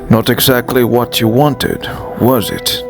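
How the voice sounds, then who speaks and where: An actor playing a taunting, mysterious dream tempter in a fan dub drama. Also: male voice, mid-range voice, little pitch movement, a little slow, over music, close to an everyday voice. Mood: mocking.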